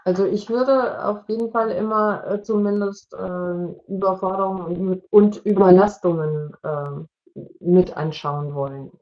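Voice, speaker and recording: A middle-aged woman explaining as an expert in an online discussion, with measured, hesitant phrasing. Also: mid-range voice, fairly even intonation, darkish tone. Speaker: expert panelist. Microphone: video call. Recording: dull, lo-fi video call audio.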